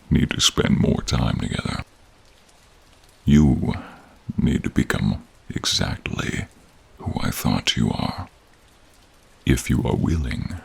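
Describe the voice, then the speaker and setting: A man voicing a protective, caring male dragon with a deep, gravelly, breathy voice, speaking slowly, solemnly and formally in a fantasy audio roleplay. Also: exaggerated voice. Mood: earnest, tender. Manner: slow, solemn, formal, dramatic pauses. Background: rain and cave ambience.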